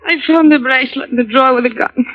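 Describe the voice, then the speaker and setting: A woman's voice: high-pitched, quick pace, narrow, tinny audio, lightly acted. An actress playing a melodramatic, scheming married woman, tearful and hysterical, in an old radio detective drama. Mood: tearful confession. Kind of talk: 1950s radio detective acting.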